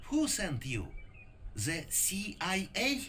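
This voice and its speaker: An actor playing a threatening, haughty Soviet army captain in a video game. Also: male voice, high voice, wildly swooping pitch, slow pace, sharp tone, a little hiss or hum, exaggerated voice. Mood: cold, contemptuous. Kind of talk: video game cutscene acting.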